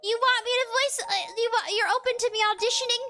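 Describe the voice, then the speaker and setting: A woman's voice: very high-pitched, fairly even intonation, quick pace, sharp tone, faint music behind. A woman streaming a game, chatting casually with viewers in a bubbly, giggly way. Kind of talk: casual live-stream chat while gaming.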